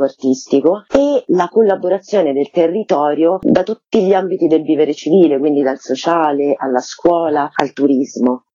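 A woman with a husky, mellow, dark voice explains things fluently and formally as an interviewed curator. The recording is dry and slightly dull. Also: low voice, dry recording. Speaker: festival curator being interviewed.

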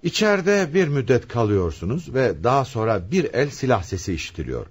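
An actor playing a brisk, authoritative police commissioner interrogating suspects in a radio play. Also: male voice, medium pitch, very animated, slightly rough. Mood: probing. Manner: commanding, deliberate, theatrical.

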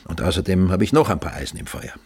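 An actor playing a blunt middle-aged businessman, his voice husky. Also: low-pitched, big pitch swings, fast talker, a little mellow, exaggerated voice. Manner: blunt and matter-of-fact. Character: blunt older male businessman, father-in-law. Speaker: actor in radio drama. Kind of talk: radio drama acting.

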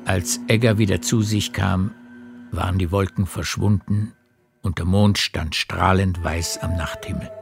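A man narrates a literary radio drama with measured storytelling. His voice is low with a gravelly creak, and soft music sits faintly behind it. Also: steady tone.

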